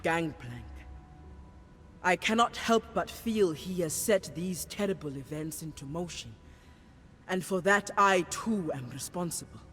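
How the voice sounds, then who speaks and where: Solemn, devout sea-goddess priestess for a fantasy game; unhurried, ceremonial delivery in a reverberant hall. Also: female voice, lowish voice, expressive, fairly bright tone. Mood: remorseful, grave. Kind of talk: dramatic game cutscene acting. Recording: reverberant hall with faint music behind.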